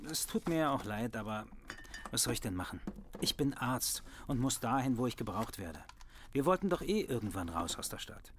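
A man's voice: medium pitch, sing-song, normal speed, background noise, lightly acted. A man playing an easygoing, distractible middle-aged doctor and father in an audio drama, speaking in a casual, placating way. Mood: sheepish.

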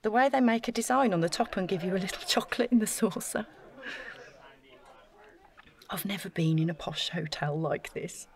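A woman's voice: medium pitch, normal speed, pauses often, close to an everyday voice. An actress playing an eager, self-effacing young woman in a naturalistic audio drama, talking in a chatty, gushing way. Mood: admiring, curious.

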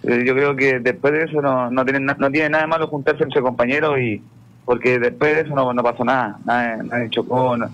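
A young Chilean man, a football player guest on a radio show, answering haltingly with many repeated words and frequent "claro". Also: mid-range voice, steady tone, a bit bright, highs cut off. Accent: Chilean Spanish.

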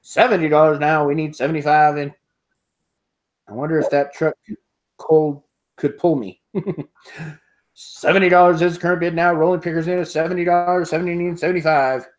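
Guy running an online auction over a video call, voice a bit high, repeats the bids over and over, earbud mic. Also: fairly quick, pauses often. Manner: steady repetitive bid calling, laughs often. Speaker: auctioneer host. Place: home room with sports memorabilia. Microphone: earbud headset on video call.

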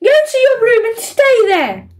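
A woman voicing an exasperated mother in a storybook, shouting and scolding. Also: extremely high pitch, sing-song, a little slow, far from the mic, noisy background, voice pushed up, heavily acted.

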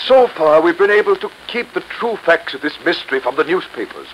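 An actor playing a grave, commanding church official in a 1930s radio mystery. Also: male voice, on the high side, big pitch swings, a little fast. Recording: noisy old 1930s radio recording.

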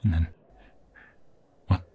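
A man playing an anxious, tender young vampire fiancé in an intimate ASMR roleplay, halting and emotional, often dropping into a breathy whisper. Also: mellow, slight background noise, natural voice. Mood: anxious, distressed.

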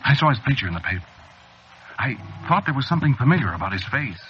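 An actor playing an anxious man losing his grip, narrating to himself in halting, restarting 1940s radio drama style on an old broadcast. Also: mid-range voice, very animated, natural voice. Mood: uneasy. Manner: jittery, halting restarts, rising to alarm. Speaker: narrator and lead character. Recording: dull, faintly hissy old radio broadcast.

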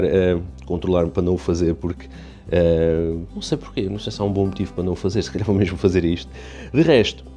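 A deep-voiced man from Portugal with a European Portuguese accent talks in a relaxed, chatty way, often restarting his sentences. His tone is dark and mellow, and faint background music plays under him. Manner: chatty, rambling, many restarts and fillers. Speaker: aquarium hobby youtuber. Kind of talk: casual walkthrough narration.

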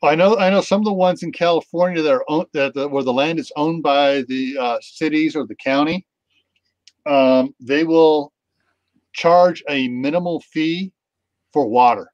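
A man's voice: on the high side, measured pace, a little mellow, no echo. A middle-aged man hosting a casual video call chat, rambling with many fillers. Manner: rambling, lots of ums and you knows. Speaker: host. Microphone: webcam on video call. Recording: dull, dry webcam audio.

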